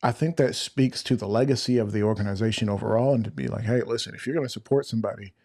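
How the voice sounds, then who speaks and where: A man hosting a podcast, talking in a casual, rambling way with a flat voice he jokes sounds sarcastic. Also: fairly low pitch, quick pace, no echo, clean studio sound. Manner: flat-voiced, rambling and chatty, self-described. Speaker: podcast host. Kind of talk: casual interview chat.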